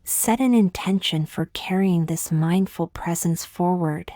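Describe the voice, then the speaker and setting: Low-pitched woman gently guiding meditation. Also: no echo, studio quality. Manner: slow, gentle, measured phrasing with long pauses. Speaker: meditation guide. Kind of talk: scripted guided meditation.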